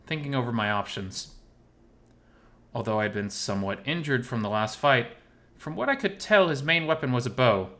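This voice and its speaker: A man reading a first-person visual novel story as a narrator, in a measured, expressive way. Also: mid-range voice.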